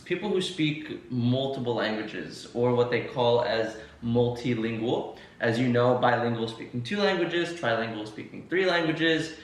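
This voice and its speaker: Young male podcast host, playful and rambling, mid-pitch voice, roomy distant sound. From United States. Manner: chatty, playful, many fillers and restarts. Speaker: podcast host interviewing his co-host. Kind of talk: casual podcast chat. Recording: echoey room, distant mic.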